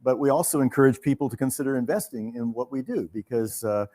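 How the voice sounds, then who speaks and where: A man hosting a live benefit evening, speaking to an audience in a measured, halting and courteous way. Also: medium pitch, warm tone. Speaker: host of a theater benefit evening. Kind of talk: live fundraising appeal to an audience.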